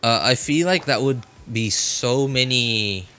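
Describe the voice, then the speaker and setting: Bright-voiced man rambling on a livestream. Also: medium pitch, slow. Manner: rambling, repeats and reworks phrases. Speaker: game livestream host. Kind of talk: casual live stream chat.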